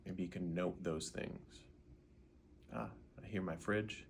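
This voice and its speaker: A man leading a guided meditation in an unhurried way, gently asking questions and leaving pauses. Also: deep voice, steady tone, vocal fry, slight background noise.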